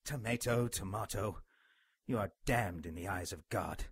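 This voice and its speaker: A man's voice: on the low side, unhurried, husky. A man playing a dying, bitter, pious monk in a radio play, stern and fatalistic. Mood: stern, condemning. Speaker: radio drama actor. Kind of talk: dramatic radio play storytelling.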